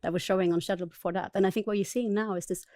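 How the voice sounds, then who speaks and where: A woman's voice: mid-range voice, fairly even intonation, racing, mellow, no room sound, studio quality. A woman from Sweden speaking as a retail buyer on a panel, enthusiastic and chatty with many fillers. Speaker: buying director, panelist. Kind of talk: panel discussion.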